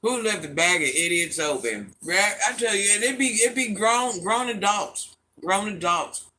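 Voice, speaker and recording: Middle-aged woman w/ a deep smoky voice, sassy n blunt, reading chat live, bright edgy tone. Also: lively intonation, normal speed. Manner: blunt, sassy, talks back to commenters. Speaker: livestream host reading chat comments.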